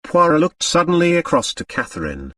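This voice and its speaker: A man's voice: a little high, wildly swooping pitch, fairly quick, sharp tone, dry recording, recorded from a distance. A man reading scripted literary narration as an audiobook narrator.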